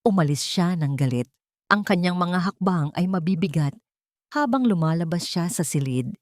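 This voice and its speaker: A woman narrates an emotional audio drama in the first person. Her voice is a little low for a woman, and the scripted delivery is dramatic. The recording is very dry, with no room sound. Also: lively intonation, moderate pace, darkish tone, dry recording. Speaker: story narrator.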